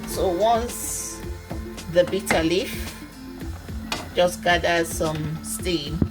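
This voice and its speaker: A Nigerian woman with a Nigerian accent chats slowly through her recipe, calling her viewers "guys" and filling pauses with ums. Her voice sits a little low, picked up on a phone mic in a home kitchen. Loud background music plays under her the whole time. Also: low-quality recording. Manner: chatty, addresses viewers as guys, many fillers. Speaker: cooking channel host. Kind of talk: step-by-step cooking talk-through.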